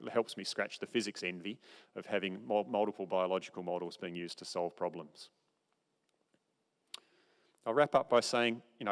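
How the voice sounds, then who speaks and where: A middle-aged man giving a conference keynote lecture from a podium on a large stage, explaining in an informal, conversational way. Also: lowish voice. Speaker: keynote speaker. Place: large dark auditorium stage. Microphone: podium microphone, far camera. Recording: long hall reverb.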